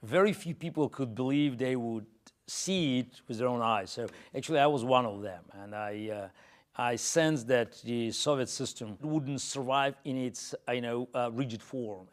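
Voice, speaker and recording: A middle-aged man from Azerbaijan, answering interview questions in a halting way, full of fillers and restarts. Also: medium pitch. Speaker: interview guest.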